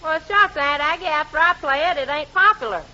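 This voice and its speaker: An actress playing a brash, folksy, wisecracking American gal with slangy clowning delivery in 1940s radio comedy. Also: female voice, high voice, fairly quick, bright, forceful tone. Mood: playful. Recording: very noisy, dull old radio recording.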